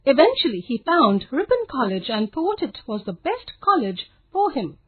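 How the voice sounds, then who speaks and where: A woman's voice: sits high, very animated, normal speed. A woman narrating a scripted story for children as a storyteller. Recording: phone-like narrow, lo-fi sound.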